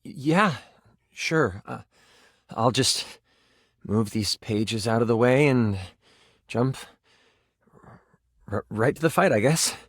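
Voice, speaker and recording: A man's voice: medium pitch, expressive, takes it slowly, long pauses, dry recording, studio quality. A man playing a playful, dramatic game master who narrates a tabletop fantasy adventure in a video game. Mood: hesitant, then dramatic. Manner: theatrical second-person storytelling with casual asides.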